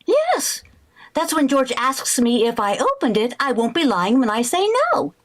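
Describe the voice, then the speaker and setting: A woman playing a flustered, scheming housewife in old-time radio comedy, expressive and exclaiming. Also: sits high, a little slow. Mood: sly.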